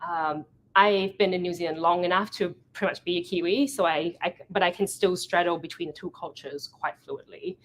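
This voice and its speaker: A young woman from Thailand, giving a lively storytelling talk at a product meetup and often correcting herself mid-sentence. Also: fairly low pitch, normal speed, low-quality recording. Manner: animated storytelling, acting out quoted conversations. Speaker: event founder giving a talk. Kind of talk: meetup presentation.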